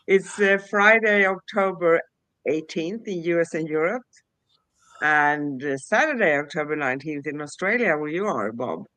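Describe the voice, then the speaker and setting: A woman's voice: low-pitched, animated, a little slow, a bit bright, no echo. A woman opening a talk show on a video call. She is cheerful and laughs a lot, and she has a cold. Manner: cheerful and bubbly, with frequent light laughter. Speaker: co-host. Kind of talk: show host introduction.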